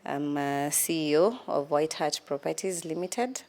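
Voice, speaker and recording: Kenyan woman, 30s, interview guest, low and unhurried, pausing with ums. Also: expressive, darkish tone. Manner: hesitant, many ums, laughs at herself. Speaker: guest, lawyer. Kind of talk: casual interview answers.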